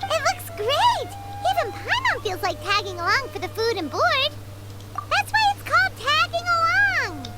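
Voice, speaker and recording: A woman voicing a tiny, bubbly, childlike fairy companion in a video game, squeaky and excitable. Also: normal speed, loud music behind the voice, heavily acted. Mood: cheerful, surprised. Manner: squeaky, excitable, speaks of herself in third person. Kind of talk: scripted video game dialogue.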